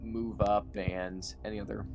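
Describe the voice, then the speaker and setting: A man's voice: on the low side, little pitch movement, unhurried, a little mellow, soft background music. A man playing a tabletop game, chatting casually and hesitantly as he thinks his moves through aloud.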